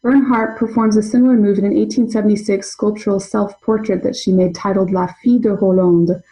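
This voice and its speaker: A young adult woman presenting an academic paper in a measured, scholarly way. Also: medium pitch, fairly even intonation, dark tone, rough recording. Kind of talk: reading a prepared conference paper.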